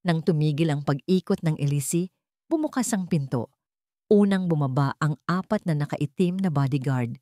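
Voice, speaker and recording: A woman's voice: deep voice, expressive, normal speed, dark tone, dry recording, studio quality. A woman narrating a scripted dramatic story as a storyteller.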